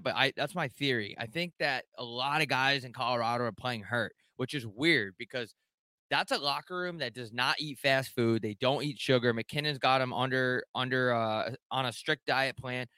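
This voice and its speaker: Young male streamer host, bright crisp voice, dry close mic, profane rambling humor. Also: fairly high pitch, no echo. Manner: profane, joking, loose and rambling. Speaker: show host taking live callers. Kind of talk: casual rambling chat.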